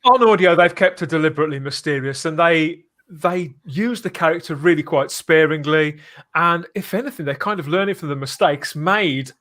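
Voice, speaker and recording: A man's voice: fairly high pitch, moderate pace, fairly bright tone. A man hosting a live fan podcast in a conversational style. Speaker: podcast host.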